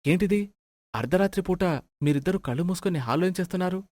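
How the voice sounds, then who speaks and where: An actor playing an excitable son and husband in a comic moral story, exclamatory and questioning. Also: male voice, high voice, fast, no room sound. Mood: bewildered. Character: flustered, thrifty son and husband, comically bewildered.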